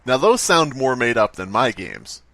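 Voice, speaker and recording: A man's voice: medium pitch, big pitch swings, moderate pace, pushed and bright, no echo. Joking man, dry close recording. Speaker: podcast co-host. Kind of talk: casual joking chat.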